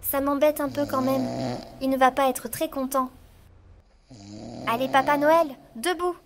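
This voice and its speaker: Bright little girl, high and sure of herself. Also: steady tone, measured pace, noisy background. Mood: curious. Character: confident little girl, kind and curious. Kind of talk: cartoon voice acting.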